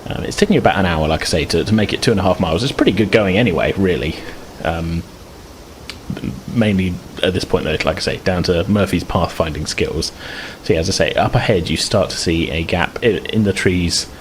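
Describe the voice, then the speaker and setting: A man's voice: deep voice, fairly quick, a little hiss or hum, low-quality recording. A man casually narrating a tabletop roleplaying game as game master, in a conversational, hedging way.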